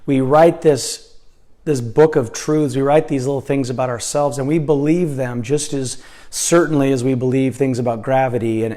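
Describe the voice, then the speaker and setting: A hoarse man talking earnestly as a life coach in a casual vlog, indoors in a slightly echoey room, with frequent fillers and restarts. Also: medium pitch, mellow.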